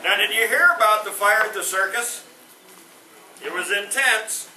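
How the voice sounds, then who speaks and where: A man's voice: high-pitched, normal speed. A man doing stand-up one-liners and corny puns without a microphone, his punchlines trailing off sheepishly. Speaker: stand-up comedian. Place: veterans home hall. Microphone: no microphone, far from the recorder. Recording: roomy hall from a distance, noisy.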